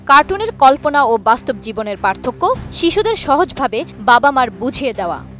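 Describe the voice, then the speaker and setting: A woman reporter with a Bangladeshi accent reads a scripted news report. Her voice is high and moves through an animated range of pitch, with faint music underneath. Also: moderate pace.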